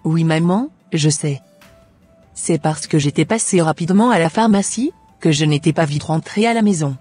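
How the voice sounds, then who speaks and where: A cheerful, polite young daughter played by a woman, with a low, husky voice. Her scripted cartoon lines have music behind them. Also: moderate pace, dark tone. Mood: pleased, polite.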